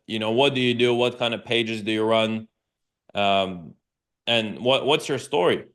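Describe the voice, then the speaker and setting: A man hosting an interview as a business coach, opening with an upbeat hype-style pitch before chatty questions. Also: measured pace, fairly bright tone. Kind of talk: scripted intro then casual interview.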